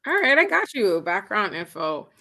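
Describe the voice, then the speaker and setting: A woman's voice: mid-range voice, sing-song, normal speed, pushed and bright. A young woman from the Bahamas, a public defender, speaking as a guest in an emphatic, articulate way. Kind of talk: casual interview talk.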